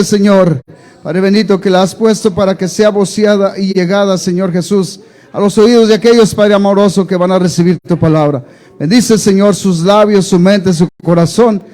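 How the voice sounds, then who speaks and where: High, mellow-voiced man praying. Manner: reverent, repeatedly invoking God. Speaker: church leader giving a prayer. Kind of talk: fervent spontaneous public prayer.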